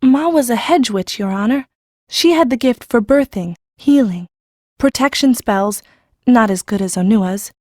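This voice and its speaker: High-voiced, shy country girl. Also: lively intonation, measured pace, darkish tone, dry recording, studio quality. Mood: shy, earnest. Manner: naive, hesitant, rustic grammar. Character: shy, plain-spoken country girl in her early teens. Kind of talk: full-cast audiobook acting.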